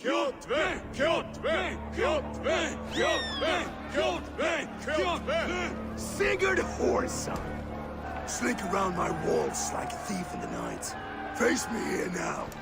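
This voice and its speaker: A man's voice: very high voice, very animated, very slow, creaky, heavily acted. A man playing a brutal Viking warlord in a video game, bellowing scornful threats and drawing out his taunts. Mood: enraged, challenging. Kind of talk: dramatic video game acting. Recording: music behind the voice.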